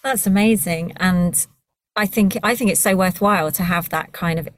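A woman interviews a guest in a relaxed, conversational style. Her voice sits a little low and the recording is dry. She sounds encouraging, feeling her way through the wording of questions. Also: normal speed, dry recording. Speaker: interviewer, podcast host.

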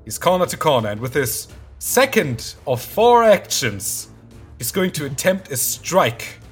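A man playing a tabletop game, chatting in casual, excitable banter with plenty of swearing. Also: a little high, measured pace, husky. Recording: music playing under the voice.

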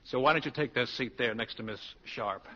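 Bright-voiced, dry-witted college professor. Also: male voice, mid-range voice, fairly quick. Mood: wry, commanding. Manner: wry, articulate, formal classroom delivery. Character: male college English professor, dry-witted, brisk and self-assured. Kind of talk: 1940s radio acting. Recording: dull, noisy 1940s radio broadcast.

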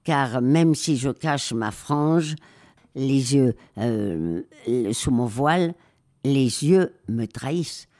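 Slow, hesitant older woman. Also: very deep voice, lively intonation, a little mellow. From raised in Spain. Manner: slow, hesitant, many pauses and fillers. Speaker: interview guest, war photographer. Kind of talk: reflective interview answers.

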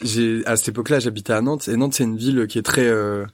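A man talking things through in a loose, thoughtful ramble, restarting his sentences as ideas come. His voice sits at an ordinary middle pitch and is recorded close on a studio mic. There is no room sound at all. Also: steady tone, fast, dry recording. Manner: thoughtful, talks in long winding sentences with restarts. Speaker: guest musician. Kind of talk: casual podcast conversation.

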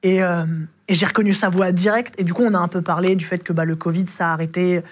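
A man's voice: high-pitched, flat delivery, quick pace. A man, a rideshare driver, telling stories from his rides in a rambling, casual way, full of slang and fillers. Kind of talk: casual storytelling.

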